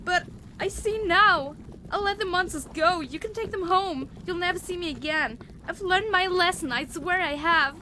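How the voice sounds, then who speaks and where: A repentant female adversary, acted by a woman in an audio drama. Her voice is high, earnest and halting as she pleads, with slight noise behind it. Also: bright, forceful tone. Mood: desperate, pleading. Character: Nemesis, remorseful pleading female enemy of the hero.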